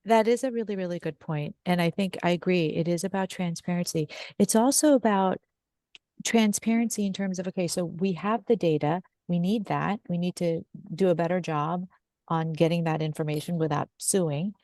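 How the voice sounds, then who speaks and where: A woman's voice: lowish voice, normal speed, mellow, no echo. A woman hosting a webinar discussion, thinking aloud and putting questions to her guests over a video call. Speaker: host.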